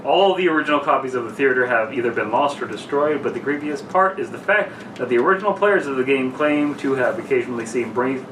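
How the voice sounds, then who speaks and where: A man's voice: mid-range voice, fast talker, few pauses. A young man co-hosting a podcast, joking crudely and reading a story aloud in a casual style. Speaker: podcast co-host. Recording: echoey room, distant mic, noisy.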